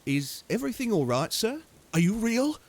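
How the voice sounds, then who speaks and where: A man voicing a calm Australian male dog walker with an Australian accent, pitched higher than his own voice. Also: moderate pace, full character voice. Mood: puzzled.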